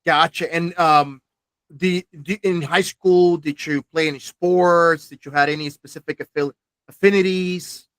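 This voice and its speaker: A man's voice: fairly high pitch, steady tone, measured pace, fairly bright tone, clear, resonant tone, dry recording. A man in his forties hosting an alumni podcast, reading a scripted welcome and then asking chatty questions with small restarts. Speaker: podcast host. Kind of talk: podcast interview.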